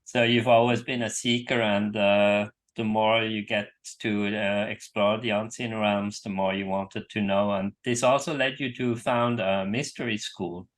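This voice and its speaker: A man hosting an online interview, summing up the guest's answers and asking measured follow-up questions with small pauses. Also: fairly low pitch, steady tone. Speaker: interview host.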